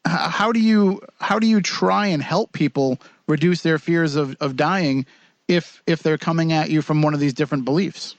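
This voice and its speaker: Man, slightly high pitch, radio interviewer, conversational, dry studio-like sound. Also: moderate pace, dry recording, dull audio. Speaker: radio show host, interviewer.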